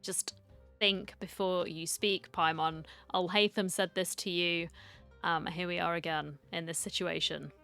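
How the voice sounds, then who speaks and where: A woman's voice: fairly low pitch, fairly even intonation, unhurried, gravelly, sharp tone, clean tone, soft background music. A woman giving casual reaction commentary as she streams a game, musing aloud and talking back to the characters.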